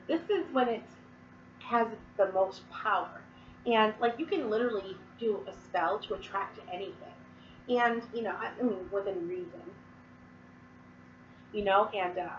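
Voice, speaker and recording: A woman's voice: medium pitch, distant mic. A chatty woman with a cold talks through lines of verse one by one, rambling and circling back with lots of fillers. The sound is dull and echoey, with a faint hum of room noise behind her. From Massachusetts. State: sick, sniffling and coughing. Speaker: Wiccan teacher. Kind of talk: casual explanatory talk to camera.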